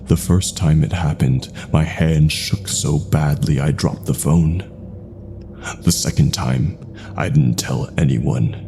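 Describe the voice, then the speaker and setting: A man reads a scripted horror story in a very low, gravel-edged voice. His delivery is slow and deliberate, with hushed phrase endings. There is faint noise behind him.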